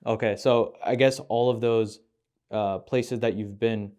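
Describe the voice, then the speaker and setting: A man's voice: fairly low pitch, fairly even intonation, measured pace, a little mellow, clean tone. A man hosting a podcast, asking thoughtful, winding questions and correcting himself as he goes. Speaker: podcast host. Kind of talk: casual conversational interview.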